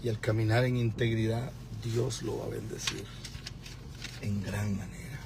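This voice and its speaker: A man's voice: on the low side, steady tone, normal speed, vocal fry. Man, 50s, livestream street preacher: informal, exhorting, moralizing, over audible vehicle noise. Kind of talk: informal preaching mixed with chat. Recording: noisy vehicle and outdoor background.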